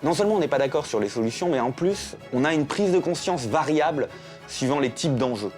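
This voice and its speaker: Man with lively, higher-pitched delivery. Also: fairly quick, soft background music. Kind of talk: interview commentary in a documentary film.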